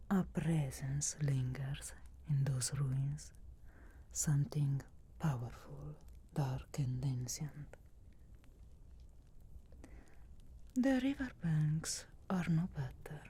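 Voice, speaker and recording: A woman voicing a sharp-tongued, sarcastic but reluctantly caring witch in a slow, breathy, whispered ASMR fantasy roleplay. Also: sits low, exaggerated voice. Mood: brooding, dry. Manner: slow, deliberate, dry sarcastic teasing.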